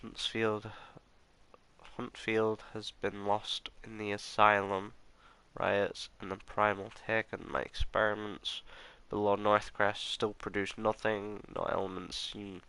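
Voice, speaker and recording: A man hosting a let's play who sounds slightly nasal from allergies, musing and reading in-game documents aloud in a soft murmur. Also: fairly low pitch, little pitch movement, slow pace, clear, resonant tone, rough recording. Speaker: gaming channel host.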